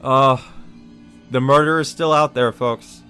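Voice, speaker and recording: A man's voice: mid-range voice, fairly even intonation, takes it slowly, pushed and bright. Guy doing a visual novel let's play, mid voice, casual asides, music behind him.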